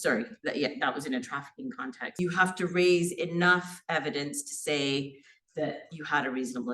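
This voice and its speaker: A woman in her forties, a criminal barrister born in Sri Lanka, talks earnestly at a seminar, full of fillers and restarts. Her voice sits a little low. She is caught by a mic set some way off in the room. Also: a bit bright, distant mic, low-quality recording. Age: 40s. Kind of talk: seminar talk.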